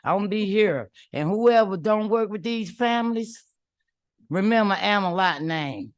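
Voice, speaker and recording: A woman's voice: medium pitch, lively intonation, normal speed, no room sound, studio quality. A woman, a community activist giving emphatic, defiant public comment at a legislative hearing, repeating her points in colloquial speech.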